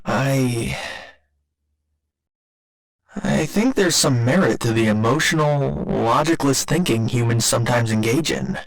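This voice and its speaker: A man playing a gentle, earnest robot boyfriend in an ASMR roleplay, speaking in formal, measured, precise sentences. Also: mid-range voice, low-quality recording. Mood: wondering, affectionate. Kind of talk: ASMR roleplay, scripted intimate monologue.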